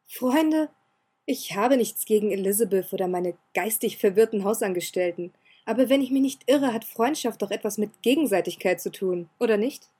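A woman's voice: medium pitch, animated, normal speed, warm tone, breathy edge. A woman voicing a cold, sulky young earl who speaks curtly and sarcastically.

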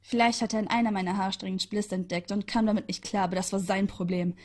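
A woman's voice: medium pitch, steady tone, fast, darkish tone. A woman narrating a first-person story aloud with chatty, sarcastic asides.

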